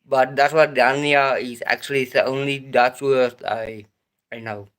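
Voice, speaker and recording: A South African man chatting on his own live stream. He talks at an unhurried, drawn-out pace and often stops to restart his sentences. His voice sits at an ordinary middle pitch. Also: fairly even intonation, fairly bright tone. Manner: hesitant, halting, with frequent pauses and restarts. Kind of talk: casual live-stream chat.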